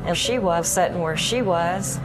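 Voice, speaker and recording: A woman's voice: fairly low pitch, measured pace, music in the background, poor audio. A woman with an American accent recounting events conversationally in an interview played from an archive tape. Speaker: interviewee, former restaurant manager.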